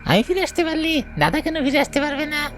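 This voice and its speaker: A woman voicing the eerie, accusing ghost of a dead young cousin in a horror cartoon, with a menacing, deliberate manner. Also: high voice, big pitch swings, faint music behind. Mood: vengeful, eerie.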